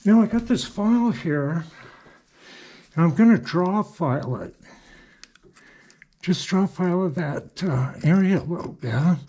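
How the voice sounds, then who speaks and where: A man's voice: sits high, a little slow, pauses often, warm tone. An older man talking casually and unhurriedly as he works, half to himself, in a folksy way. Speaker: hobby machinist showing shop work. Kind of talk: casual workshop narration while working. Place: small cluttered indoor workshop.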